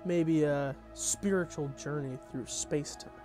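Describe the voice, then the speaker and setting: A man's voice: fairly high pitch, measured pace, mellow, faint music behind. A young adult man doing an informal table read of his own comedy script, dropping in wry, off-the-cuff asides. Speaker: content creator reading own script.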